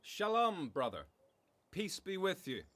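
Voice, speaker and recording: Hospitable religious brother for biblical radio drama male actor: mid-pitched, swooping intonation, courteous and welcoming. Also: very animated, takes it slowly, fairly bright tone, heavily acted. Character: welcoming, hospitable brother of an ascetic desert community. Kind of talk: radio Bible drama acting.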